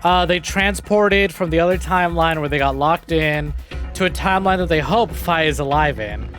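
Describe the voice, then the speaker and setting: A man's voice: on the high side, moderate pace, fairly bright tone, soft background music. A man giving casual live-stream commentary on a game, sweary and thinking aloud.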